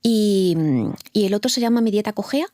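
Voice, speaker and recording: A woman's voice: mid-range voice, normal speed, dark tone, no echo, studio quality. A middle-aged woman from Madrid, a fertility nurse giving thoughtful interview answers and often repeating words as she searches for them. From Madrid, Spain.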